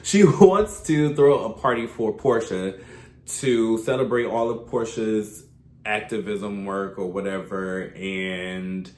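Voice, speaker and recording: A man with a stuffy, congested voice from a cold, giving a chatty, opinionated recap commentary with sudden high exclamations. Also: takes it slowly, a little mellow, echoey room. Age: adult, past his thirties. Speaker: reality TV recap vlogger.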